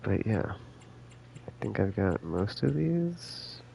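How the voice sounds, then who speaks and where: Very deep, gravelly male game streamer. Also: big pitch swings, slow, dark tone, a little hiss or hum. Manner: laid-back slangy, thinking aloud. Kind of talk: casual rambling stream commentary. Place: indoors, live stream with game music behind.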